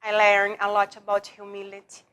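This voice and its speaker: A woman's voice: mid-range voice, monotone, normal speed, fairly bright tone, clear, resonant tone. A woman from Brazil with a Brazilian Portuguese accent and broken English, telling her life story in an interview, often repeating and restarting words. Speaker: guest.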